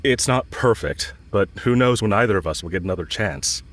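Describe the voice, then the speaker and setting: A man playing a lovestruck villain in an intimate audio roleplay, speaking with earnest, halting hesitation and yearning. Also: lowish voice, lively intonation, fairly bright tone. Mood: earnest, hopeful. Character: lovestruck male villain confessing to his enemy, yearning.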